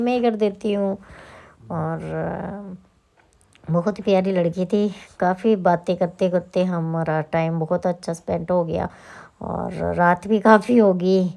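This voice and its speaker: A woman's voice: lowish voice, vocal fry, warm tone. A woman who runs a salon, talking casually through her day with a slightly sore throat, chatty and rambling. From Himachal Pradesh, India. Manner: chatty, rambling, self-correcting. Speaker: salon owner and vlogger. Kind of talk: casual vlog narration.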